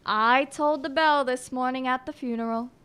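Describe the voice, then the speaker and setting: A woman's voice: high voice, steady tone, moderate pace, a bit bright, clear, resonant tone. A woman playing a nosy, chatty, boastful village postman in a radio drama, with a folksy, cheeky manner.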